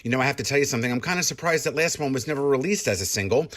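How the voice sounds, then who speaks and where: A man's voice: medium pitch, lively intonation, racing, a bit bright. A man hosting live college radio as a DJ, with upbeat presenter patter. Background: music.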